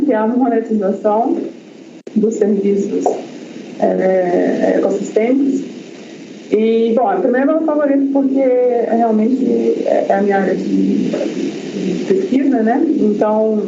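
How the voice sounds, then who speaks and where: A man's voice: high voice, creaky. A researcher presenting his project at an academic seminar, talking in a hesitant way with many fillers and restarts. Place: university lecture room. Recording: echoey lecture hall, dull lo-fi sound, audible noise.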